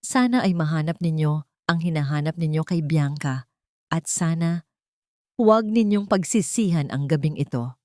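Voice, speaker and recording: A woman voicing a wounded young wife, quiet and restrained, in an audio story. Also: low-pitched, moderate pace, no room sound, studio quality, close to an everyday voice. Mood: resolved.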